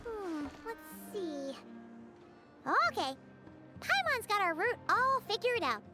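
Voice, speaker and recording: A woman voicing a tiny, chirpy fairy companion in a video game, childlike and squeaky, perky and chatty. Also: sing-song, takes it slowly, loud music behind the voice, full character voice. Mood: cheerful explaining. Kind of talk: video game character acting.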